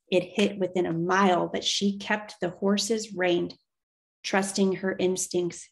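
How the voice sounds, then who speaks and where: A woman reading a children's novel aloud as an expressive storyteller. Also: on the low side, vocal fry.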